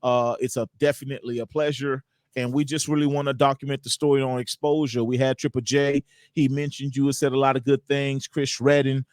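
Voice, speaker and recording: A man's voice: medium pitch, little pitch movement, a little fast, a little mellow, dry recording, clean studio sound. A man hosting a casual hip hop podcast interview in an upbeat, slangy way. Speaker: podcast host.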